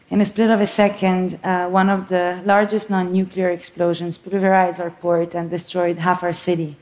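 A woman in her thirties from Lebanon, a filmmaker giving an earnest, reflective talk on stage with soft pauses. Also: fairly low pitch.

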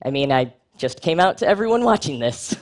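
A man giving a prepared public talk with a wry, frank, humorous delivery and lively jumps in pitch for emphasis. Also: a little fast.

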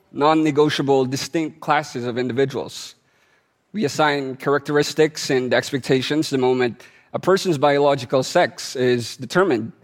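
A man from the Philippines giving a prepared talk to an audience, telling it like a story and slowing down to stress key words. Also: medium pitch. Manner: measured storytelling delivery, slowing for emphasis. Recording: long hall reverb.

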